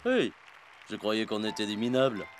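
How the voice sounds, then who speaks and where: A man voicing a glum, slow football character in a cartoon dub. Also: on the low side, very animated, loud music behind the voice. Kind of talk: French cartoon dubbing.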